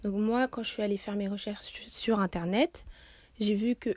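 A woman's voice: mid-range voice, fairly even intonation, normal speed, a little mellow, no echo. A young woman hosting a podcast interview, asking long, earnest questions with frequent fillers. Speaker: podcast host.

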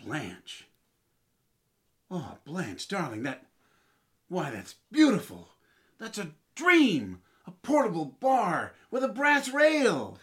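An actor playing a crabby, penny-pinching, exasperated husband in an old-time radio comedy, grumbling and indignant. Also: male voice, sits high, wildly swooping pitch, slow, long pauses, fairly bright tone, heavily acted. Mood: delighted.

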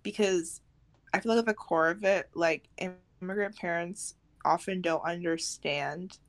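Young woman, gravelly fry, slightly low pitch, casual podcast chat, loose phrasing. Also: measured pace. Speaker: podcast co-host.

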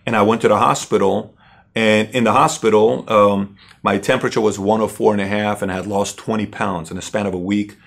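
A middle-aged man from Iran, a company CEO, telling his personal story in a halting way with many fillers and restarts. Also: on the low side, steady tone, vocal fry.

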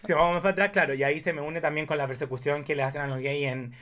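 A man chatting casually about films, telling things in an animated way with emphatic asides. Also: on the high side, rapid-fire. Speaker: guest film enthusiast. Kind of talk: casual film chat.

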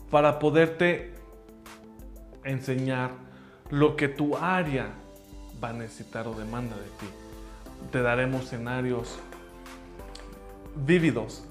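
A man whose first language is English gives an earnest, emphatic motivational talk about his language school, speaking in halting phrases. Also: mid-range voice. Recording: roomy echo with faint music.

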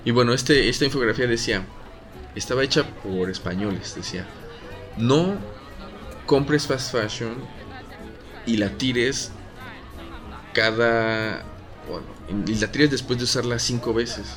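A Mexican man talking casually on a podcast, full of slang and quick jokes as he rambles and restarts his sentences. His voice sits at a middle pitch, and clear music plays behind him. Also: a crowd in the background. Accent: Mexican Spanish. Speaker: podcast co-host. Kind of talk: casual chat.

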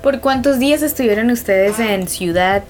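A woman's voice: a little high, normal speed, darkish tone. Colombian woman interviewer, music behind her. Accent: Colombian Spanish. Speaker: podcast interviewer. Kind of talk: casual interview, short prompts and questions.

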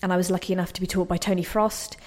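A young woman speaks as a guest on a radio interview. Her voice sits a little low, and she tells her own story casually, with modest good humour and slight nerves. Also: little pitch movement, racing, a little mellow. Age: young adult. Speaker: interview guest, playwright and actor. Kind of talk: casual, chatty personal storytelling. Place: radio station.

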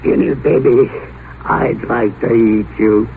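A man's voice: medium pitch, slow, vocal fry. An actor playing a rich, volatile old man afraid of death in a vintage radio drama, blustering and folksy. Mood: fond, pleased. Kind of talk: 1950 radio drama acting. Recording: noisy, tinny old radio broadcast.

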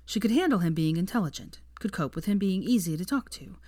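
A woman narrates a piece of fiction in an expressive audiobook manner. Her voice sits slightly low. The recording is close and dry, with very little room around it. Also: fast talker, no room sound.

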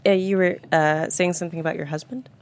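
A woman plays an inquisitive, concerned friend in her thirties. Her voice is fairly low and questioning. It is a drama table read with audible background noise. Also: fairly even intonation, fast.

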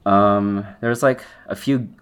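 A man's voice: on the low side, fairly even intonation, measured pace, smooth. A young man from the Philippines with a nasal voice, chatting casually on a podcast, rambling and correcting himself. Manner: rambling, self-correcting, lots of likes. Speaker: podcast co-host. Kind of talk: casual chat.

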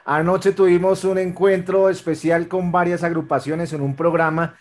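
Friendly radio host, talking fast. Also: male voice, high-pitched, little pitch movement. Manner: friendly, rambling, calls guest "hermano". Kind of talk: casual interview.

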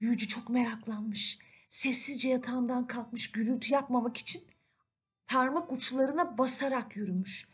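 High-voiced woman telling a fairy tale. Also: normal speed, husky. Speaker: storyteller. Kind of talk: expressive children's fairy-tale narration.

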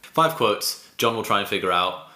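A man co-hosting a casual political podcast, speaking haltingly and searching for words in an echoey room. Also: on the low side, lively intonation, sharp tone. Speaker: podcast co-host. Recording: roomy, echoey sound.

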